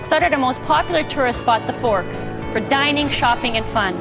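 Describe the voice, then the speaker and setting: A woman reading scripted tourism promotion narration, with loud music underneath. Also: sits high, normal speed.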